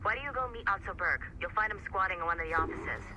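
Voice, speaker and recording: Game office worker, brisk and fast-talking, instructive, on a noisy intercom. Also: male voice, high-pitched, expressive. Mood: brisk, preoccupied. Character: brisk, busy office colleague giving instructions. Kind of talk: casual video game dialogue.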